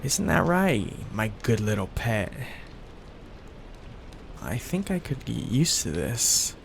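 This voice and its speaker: Creaky-voiced, doting young mafia heir played by a man. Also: mid-range voice, sing-song, takes it slowly, a little hiss or hum, full character voice. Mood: hesitant, coaxing. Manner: tender, coaxing, hesitant, asks for reassurance. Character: soft-hearted young male mafia heir, doting, possessive, hesitant. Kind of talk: intimate ASMR boyfriend roleplay.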